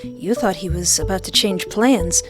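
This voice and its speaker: A woman's voice: mid-range voice, unhurried. Mid-range female voice, playful, casual game commentary and read-aloud narration, background music. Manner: playful, jokey asides. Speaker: let's player.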